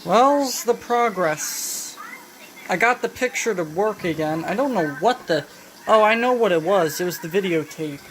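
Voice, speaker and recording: A woman talking casually through a hands-on repair demonstration, halting and thinking aloud as she goes. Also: medium pitch. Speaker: vintage electronics repair hobbyist. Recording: noisy home video, steady background noise. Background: clear background noise.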